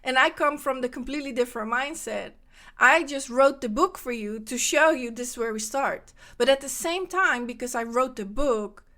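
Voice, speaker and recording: Lady podcasting about spirituality, higher voice, lively and repeats herself a lot. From Netherlands. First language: Dutch. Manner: animated, repeats phrases for emphasis. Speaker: podcast host. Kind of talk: casual spiritual monologue.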